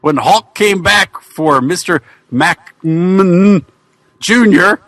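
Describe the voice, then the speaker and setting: A man's voice: high voice, drawn-out words, fairly bright tone, no room sound, exaggerated voice. A man voicing the ghost of a dim, folksy wrestler in a rambling, bumbling comic reading of fan fiction. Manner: rambling, bumbling, colloquial delivery.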